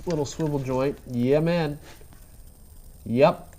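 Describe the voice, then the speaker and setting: A man's voice: fairly high pitch, takes it slowly, dark tone, slight background noise. Congested man narrating a car build. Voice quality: nasal, congested. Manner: laid-back, rambling, filler-heavy. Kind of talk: casual how-to narration while working. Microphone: head-mounted action camera.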